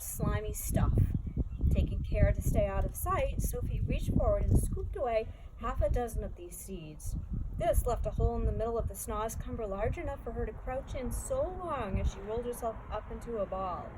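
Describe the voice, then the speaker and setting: A woman reading a children's story aloud in an expressive storybook style. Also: a little high. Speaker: story reader. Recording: clearly noisy background.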